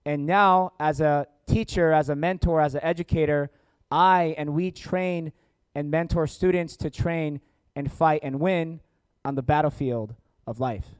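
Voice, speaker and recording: A man's voice: a little high, steady tone, measured pace, smooth, no room sound. A man with an American accent presenting informally to an audience as a seminar host, jokey and engaging. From born in South Korea, grew up in Atlanta, United States. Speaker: seminar host, company founder and educator. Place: indoor seminar room with families and online viewers.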